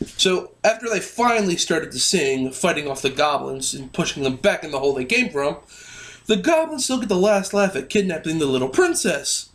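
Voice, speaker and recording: A co-host delivers bantering comedic commentary for a puppet review. The pitch moves about in a lively, animated way. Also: normal speed.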